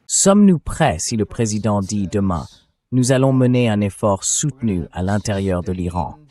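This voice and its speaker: A man's voice: fairly low pitch, lively intonation, moderate pace, dark tone. An AI-voiced man speaking as a talk show host, giving dubbed political commentary. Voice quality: synthetic AI voice.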